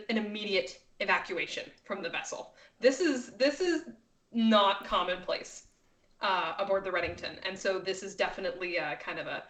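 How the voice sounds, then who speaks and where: A woman's voice: medium pitch, fairly bright tone. A woman running a tabletop role-playing game as game master, narrating off the cuff in a casual way. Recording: echoey room, distant from mic.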